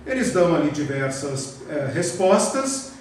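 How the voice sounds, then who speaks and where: A man's voice: on the high side, sing-song, unhurried. Brazilian man lecturing in an echoey room. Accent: Brazilian Portuguese. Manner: frequent tag questions, repeats words for emphasis. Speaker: theology teacher. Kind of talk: classroom lecture. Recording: very echoey room, voice far from the mic, faint noise.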